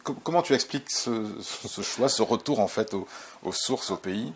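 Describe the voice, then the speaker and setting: A male interviewer asking questions with a husky voice, searching for his words as he goes. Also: mid-range voice, expressive, moderate pace.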